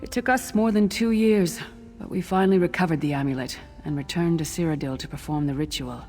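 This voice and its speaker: An actress playing a battle-worn, earnest female warrior companion in a fantasy video game, telling things gravely. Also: sits low, fairly quick, a little mellow, faint music behind, natural voice. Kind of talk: video game character dialogue.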